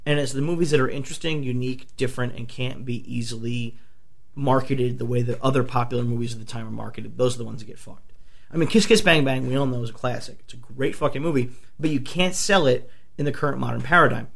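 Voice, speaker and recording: A man with a gravelly, croaky voice, worn hoarse from a flu, holds forth on films in an excitable, profane rush. He stumbles through restarts and fillers and jumps back in with energy. His pitch sits in an ordinary middle range. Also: fast talker. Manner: excitable, swears often, many restarts. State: a few bourbons in. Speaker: podcast host. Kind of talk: casual movie talk.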